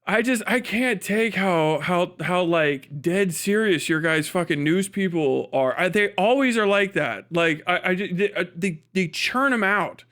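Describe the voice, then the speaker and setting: An American man with a fairly high voice talks off the cuff to his livestream. He is irreverent and profane, joking his way through loose, casual commentary. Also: lively intonation, a little slow, few pauses, fairly bright tone. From United States. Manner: breaks often into chuckles. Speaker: livestreamer and podcast host.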